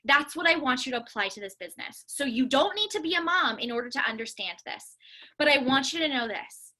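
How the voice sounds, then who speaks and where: A woman's voice: sits high, lively intonation, brisk, sharp tone. A woman giving a motivational coaching talk to her team, speaking earnestly and emphatically and repeating phrases for stress. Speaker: business coach leading a team call.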